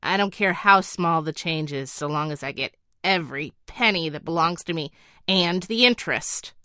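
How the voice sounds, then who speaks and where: Woman reads a greedy grumpy old lady, lower voice, fairy-tale audiobook. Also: moderate pace, bright, forceful tone, no room sound, studio quality. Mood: scowling. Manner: scowling, grasping.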